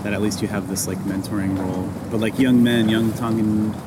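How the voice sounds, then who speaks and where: A male interviewer with a somewhat low voice asks loose, encouraging questions at an easy pace. His words come over steady background noise. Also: fairly quick. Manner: relaxed, encouraging, hedging questions. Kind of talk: conversational interview. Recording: noisy background.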